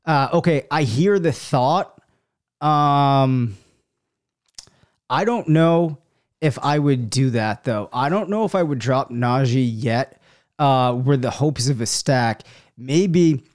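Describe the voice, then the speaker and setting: A man with a cold, a little hoarse, hosting a sports podcast alone and thinking out loud through listener questions, with many ums and hedges. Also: mid-range voice. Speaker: fantasy football podcast host. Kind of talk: live solo Q&A, answering listener questions.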